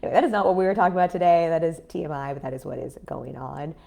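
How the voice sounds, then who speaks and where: A woman in her thirties talking casually on a livestream as a business coach, her voice raspy after an illness, chatty with many fillers. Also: low voice, fairly even intonation, racing, mellow, muffled recording. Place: home in a city apartment.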